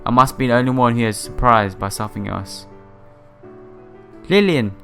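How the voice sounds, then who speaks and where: A man's voice: medium pitch, steady tone, a little fast, gravelly, pushed and bright. A man hosting a visual novel playthrough, reading and commenting casually, sometimes stumbling over his words. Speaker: gaming video host. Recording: music behind the voice. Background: music.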